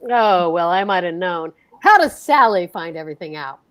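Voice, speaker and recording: A woman's voice: lowish voice, big pitch swings, moderate pace, fairly bright tone, pitched below the performer's own voice. A woman reading the part of a teasing, wisecracking husband in an amateur old-time radio play. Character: husband George, bantering middle-aged man.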